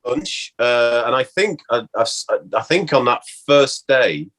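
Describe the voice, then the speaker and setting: A man, an actor being interviewed, reminisces casually in a halting way, often interrupting himself. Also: mid-range voice, lively intonation, a bit bright.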